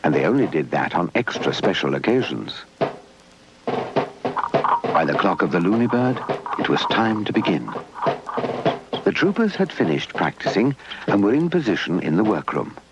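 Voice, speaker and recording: A British man narrating a scripted children's puppet story in an animated, dramatic way. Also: low-pitched, moderate pace, pauses often, heavy background noise. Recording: dull lo-fi 1980s VHS tape. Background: music.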